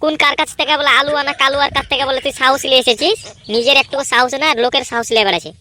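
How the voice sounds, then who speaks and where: A woman acting as a brash little cartoon boy. The voice is sharp and high, cocky and needling. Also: fairly even intonation, very fast. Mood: taunting, daring. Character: cocky, taunting small boy spoiling for fights. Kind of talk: amateur comedy cartoon dub.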